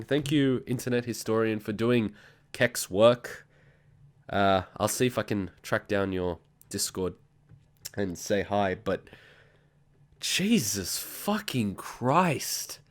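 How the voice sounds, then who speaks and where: A man, bluntly ranting and swearing as host of a reaction video. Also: on the high side. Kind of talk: casual reaction commentary.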